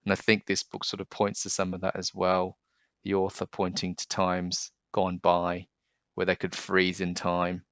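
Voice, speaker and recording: A man reading aloud to children as a storyteller, with deliberate, expressive phrasing. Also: deep voice, smooth. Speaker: storybook reader, host. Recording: dull, roomy home recording.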